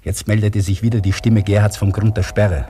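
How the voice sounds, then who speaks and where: Older crane operator, proud and dutiful, mellow and husky, 1950s radio drama. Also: male voice, on the low side, little pitch movement, fairly quick. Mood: measured recollection.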